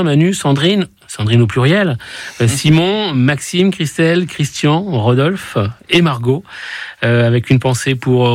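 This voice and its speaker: A man in his sixties, a retiring radio host with a slight cold, giving a halting, heartfelt farewell on live air. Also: medium pitch, dry recording. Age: 60s. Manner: halting, heartfelt, many restarts. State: has a cold. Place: radio studio.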